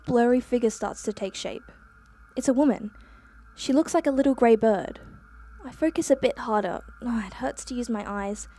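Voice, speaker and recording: A woman's voice: fairly high pitch, mellow. A woman acting a naive, breezy young influencer-type reporter in a radio play, with chatty phrasing.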